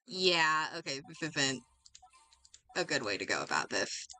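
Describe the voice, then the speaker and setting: A woman's voice: sits low, big pitch swings, a little slow, sharp tone. A woman livestreamer chatting casually as she games, reacting and thinking aloud, often doubling back on her words. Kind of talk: casual chat while gaming, reacting aloud.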